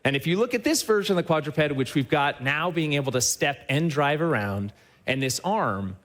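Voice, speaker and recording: A man who heads a company, giving a lively talk on a trade show stage and speaking straight to the audience, often tagging his points with a quick question. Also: on the high side. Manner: upbeat and enthusiastic, often checks in with a tag question; addresses the audience directly. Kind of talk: live conference presentation.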